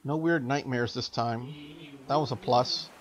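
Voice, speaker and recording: A man's voice: mid-range voice, fairly even intonation, a little slow. A man hosting a gaming channel, reading a visual novel aloud with casual asides.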